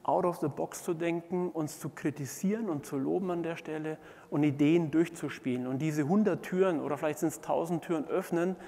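A middle-aged man delivers a conference keynote in a fairly high voice with plenty of vocal fry. He pauses often and feels his way through phrases. The sound carries the long reverb of a large hall. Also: dark tone. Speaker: keynote speaker, management consultant. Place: large indoor conference hall, on stage before seated audience.

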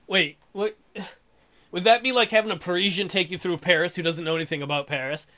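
A man with a high, bright voice comments on a visual novel he is playing. His delivery is exaggerated and irreverent, the playful style of a gaming host. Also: steady tone, brisk. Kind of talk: comic read-aloud commentary on a visual novel.

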